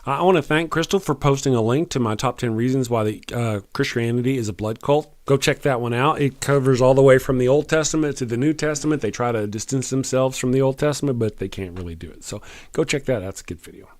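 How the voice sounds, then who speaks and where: A man's voice: mid-range voice, darkish tone, no echo. Texan man hosting, dry close sound. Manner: earnest, plain-spoken, halting. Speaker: live stream host. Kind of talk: casual commentary.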